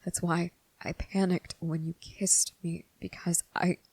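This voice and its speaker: A man playing a gentle, anguished young vampire in an ASMR roleplay, speaking in a hushed, halting way. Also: sits high, level tone, no echo. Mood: anguished, fearful. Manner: hesitant, halting, breathy, hushed.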